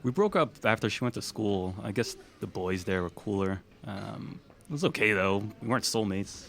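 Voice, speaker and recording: A man's voice: fairly low pitch, lively intonation, normal speed, vocal fry, a bit bright. A man playing an easygoing, plain-spoken young guy in a naturalistic audio drama, talking casually. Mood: curious. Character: easygoing young man confiding past events.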